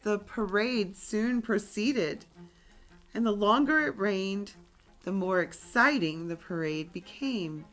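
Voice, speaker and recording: A woman reading a children's story aloud in a deliberate, expressive storytime style. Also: mid-range voice, faint music behind, poor audio.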